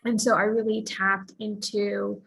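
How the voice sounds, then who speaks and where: A woman's voice: medium pitch, level tone, measured pace, gravelly, fairly bright tone, slight background noise, low-quality recording. A woman from the Philippines speaking earnestly as a panelist, sharing her own path with many fillers. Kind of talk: panel discussion, personal account.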